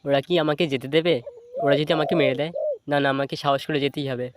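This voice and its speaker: Cartoon mother tailorbird, played by a man: quick, earnest, pleading, plucky, over a noisy track with bird sounds. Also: on the high side, fairly even intonation, natural voice. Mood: nervous but brave. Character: small brave mother tailorbird seeking justice from the king. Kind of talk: cartoon voice acting.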